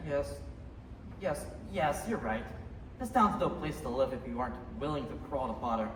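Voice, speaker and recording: A man's voice: mid-range voice, expressive, moderate pace, close to an everyday voice. A young male actor playing a kindly, weary older small-town father in a stage radio play. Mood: earnest, resigned. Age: teenager. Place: high school theater stage. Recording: echoey theater hall, distant mic, faint noise.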